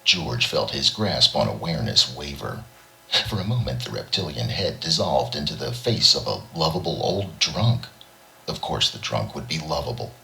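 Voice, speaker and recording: A man's voice: rumbling low, expressive, moderate pace, creaky, pushed and bright, poor audio. A man reading a short story aloud as an audiobook narrator, in a dramatic storytelling style. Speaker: audiobook reader.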